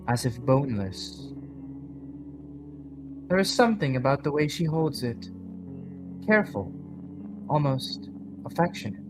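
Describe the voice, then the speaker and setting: A man reading scripted narration for a horror audio drama in a measured, dramatic storytelling manner. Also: medium pitch, low-quality recording. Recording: background music under the voice. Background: music.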